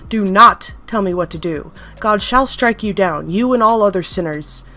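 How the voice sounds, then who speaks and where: Woman voicing a strange male creature, odd and flat, zealous, threatening, over faint music. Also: mid-range voice, normal speed. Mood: menacing. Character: eerie male creation of a strange god, childlike then fanatical.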